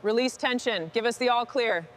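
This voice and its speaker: A woman with a fairly high voice speaks in brief, scripted work instructions, like a mechanic talking through a job. Some workshop noise runs behind her voice. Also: normal speed. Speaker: machinery restoration mechanic.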